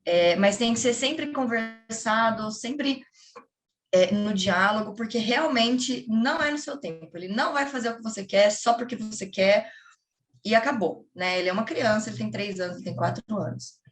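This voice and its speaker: A woman who teaches English, talking casually to fellow teachers in a training session, chatty and animated, acting out classroom chants. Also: a little high, normal speed. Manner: chatty, lively, quotes children and classroom chants. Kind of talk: casual explanatory talk to colleagues.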